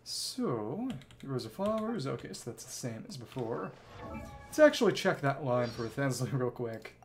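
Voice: medium pitch, big pitch swings, measured pace, slight background noise. A game streamer chatting casually with viewers and reading game dialogue in clipped, musing fragments. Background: game music.

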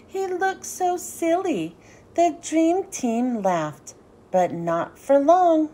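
High, lively woman reading a storybook. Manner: animated, sing-song, deliberate phrasing. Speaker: children's book read-aloud host. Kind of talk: expressive storybook narration for young children.

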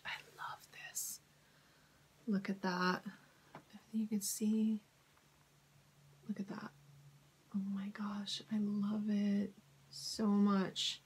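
A woman casually showing fabric in her home sewing studio, her voice crackly from a cold, often dropping to a soft whisper. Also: medium pitch. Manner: soft-spoken, drifts into whispers when admiring fabric. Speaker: sewing vlogger. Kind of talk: casual fabric haul chat.

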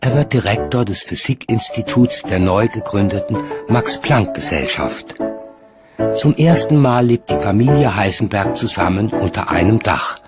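Deep-voiced male documentary narrator over music. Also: expressive, moderate pace. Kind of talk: scripted narration.

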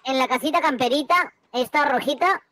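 A man playing as a teammate, urging his partner on with quick repeated commands. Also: very high-pitched, sharp tone, no echo. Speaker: gaming teammate. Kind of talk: excited co-op gameplay talk.

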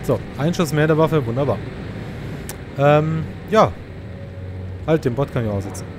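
A man's voice: fairly high pitch, expressive, measured pace. Man grumbling through a game, noisy backdrop. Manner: sarcastic, grumbling asides. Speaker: let's play host. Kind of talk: casual gameplay commentary, muttering to himself. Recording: clear game noise behind the voice.

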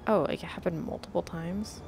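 A woman's voice: fairly low pitch, brisk, creaky, music in the background. A woman chatting casually as a host reacting to video game cutscenes.

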